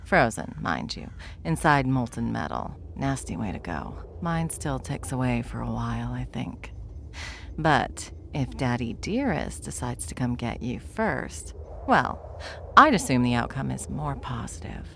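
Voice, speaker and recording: A woman playing a bored, smug, powerful villain in an ASMR roleplay, with a wry, taunting drawl and a creaky voice. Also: a bass voice, very animated, a little slow, a little hiss or hum, heavily acted. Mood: wry, conversational.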